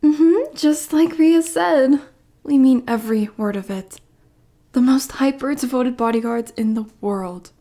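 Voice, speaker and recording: A woman's voice: high voice, measured pace, warm tone. A woman playing a dorky, lovestruck bodyguard in an ASMR roleplay, bashful and stammering, muttering asides as she clumsily hides her obsession. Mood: eager, devoted.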